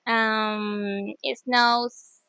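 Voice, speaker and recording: A woman from Venezuela with a Venezuelan accent, giving brief answers with soft laughs. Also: a little high, flat delivery, extremely slow, no room sound. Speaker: interviewee.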